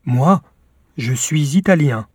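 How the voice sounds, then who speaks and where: A man voicing an easygoing young male student in a slow language-course dialogue, with a husky voice. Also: fairly high pitch, expressive, darkish tone, dry recording, studio quality, exaggerated voice. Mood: relaxed. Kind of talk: slow scripted textbook dialogue.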